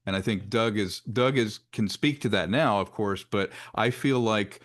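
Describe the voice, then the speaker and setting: A man's voice: lowish voice, normal speed. A man making an earnest point as a panelist in a conversational discussion. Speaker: panelist, audio drama creator.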